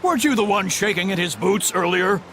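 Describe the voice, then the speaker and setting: A man's voice: high-pitched, expressive, a little fast, a bit bright, slight background noise, poor audio. A man voicing a sceptical soldier of the guard in a video game, blunt and teasing. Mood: wry, amazed. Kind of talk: English game voice acting.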